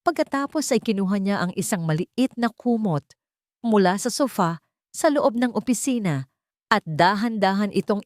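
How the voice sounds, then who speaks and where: A woman with a Filipino accent narrating a romance story in deliberate, dramatic short phrases with pauses. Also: fairly low pitch, darkish tone, dry recording, clean studio sound.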